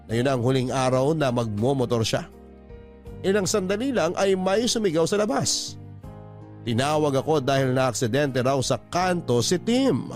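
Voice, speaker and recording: A man narrating a listener's love story as a radio host, in a solemn, sentimental, dramatic style. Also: mid-range voice. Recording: music bed behind narration.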